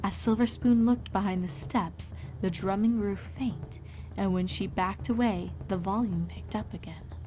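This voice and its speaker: A woman narrates an adventure story from a script. Her pitch is in the middle range for a woman, and there is faint noise and ambience behind her. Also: measured pace, a little mellow, poor audio. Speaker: fan fiction narrator.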